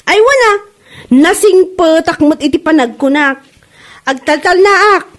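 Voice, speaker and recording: A woman playing an aggrieved, quick-tempered seller in a comedy drama, with an Ilocano accent. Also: very high-pitched, animated. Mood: resentful.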